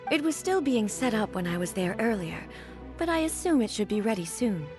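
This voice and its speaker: An actress playing a composed, gentle and courteous young noblewoman, speaking politely and formally in an English video game dub. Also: medium pitch, big pitch swings, fairly quick, close to an everyday voice. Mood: calm, encouraging. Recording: music behind the voice.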